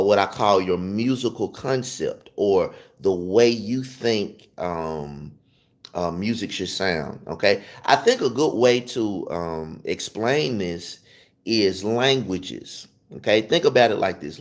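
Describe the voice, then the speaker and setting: A man with a Southern US accent giving an informal music lesson, emphatically repeating and rephrasing his points. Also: on the low side. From Memphis, Tennessee, southern US. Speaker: music teacher. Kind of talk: informal instructional talk to viewers.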